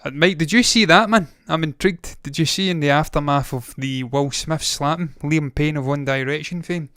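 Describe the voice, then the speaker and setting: A man's voice: on the high side, normal speed, fairly bright tone, no room sound, clean studio sound. A man in his thirties hosting a movie news show, talking casually, with rambling opinionated asides. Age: 30s.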